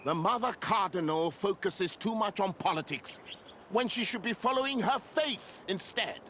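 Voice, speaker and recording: A zealous, defiant inquisitor from a fantasy game. He has a high, piercing, forceful voice with slight background noise. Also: animated, normal speed. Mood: fanatical, menacing. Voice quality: sharp, forceful. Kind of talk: video game cutscene acting.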